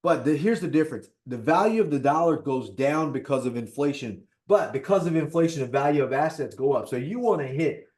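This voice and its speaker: A man of fifty talking casually about personal finance as an investor, animated, repeating points and checking in with "right?". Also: fairly high pitch, fairly quick, hardly any pauses. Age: 50s. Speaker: real estate investor.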